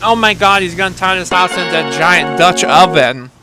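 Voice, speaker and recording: A man's voice: high-pitched, moderate pace, over music. A man hosting a gaming video, giving chatty, exclamatory reactions to a horror game.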